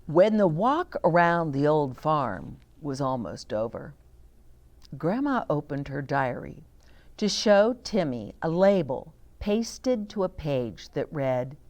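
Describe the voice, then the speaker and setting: An older woman reading aloud to children and adding chatty asides, gently explaining things to her young listeners. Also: deep voice, big pitch swings, takes it slowly.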